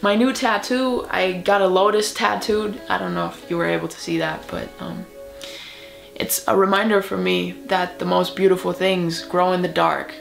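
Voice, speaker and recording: A woman's voice: fairly low pitch, steady tone, moderate pace, vocal fry, soft background music. A woman from Germany, German her first language, speaking English in an earnest, encouraging motivational vlog. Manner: earnest, encouraging, with soft laughs. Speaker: video creator.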